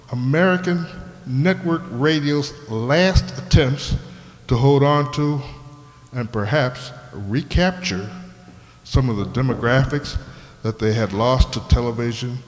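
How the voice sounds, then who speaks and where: Man, show host, scripted introduction, mid pitch, unhurried, showman delivery, long reverb. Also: lively intonation. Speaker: host introducing a radio players' performance. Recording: echoey hall with long reverb.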